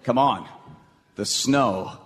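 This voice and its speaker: A man's voice: mid-range voice, very slow. An actor playing an earnest, eager young man in a lighthearted radio play. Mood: earnest, persuasive. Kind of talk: lighthearted radio theatre acting. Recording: noisy, reverberant hall stage recording.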